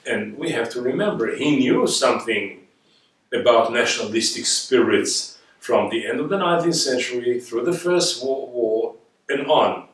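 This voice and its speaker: The sound is strongly reverberant and a little distant from the microphone. A man with an Israeli accent speaks at a middling pitch in the manner of a reflective lecturer. He stresses his points, asks rhetorical questions and builds by repetition. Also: expressive, moderate pace. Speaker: guest speaker. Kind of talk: reflective spoken lecture on history. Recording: echoey room, distant microphone.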